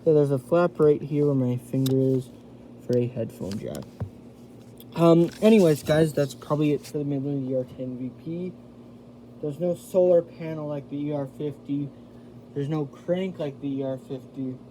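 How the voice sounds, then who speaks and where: A man giving a casual hands-on product review, thinking aloud in a halting way as he demonstrates. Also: fairly high pitch, mellow. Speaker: amateur gadget reviewer. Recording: noisy homemade recording with radio static.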